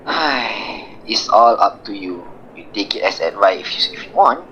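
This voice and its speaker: A man's voice: on the low side, lively intonation, a little slow, pushed and bright. A man playing a frank, reasonable best friend in an amateur radio drama, candid and informal. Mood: frank advice. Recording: dull, slightly noisy recording.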